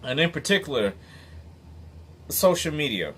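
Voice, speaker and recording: A man's voice: fairly high pitch, sing-song, a little slow, a bit bright, husky. A man in his late thirties giving an earnest, blunt motivational monologue, emphatic and sometimes swearing. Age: 30s. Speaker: motivational vlogger. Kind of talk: unscripted commentary monologue.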